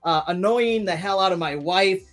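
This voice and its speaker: A man's voice: high-pitched, moderate pace, fairly bright tone, faint music behind. A man in his thirties hosting a live stream, chatting casually and rambling with many restarts while reading viewer comments. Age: 30s.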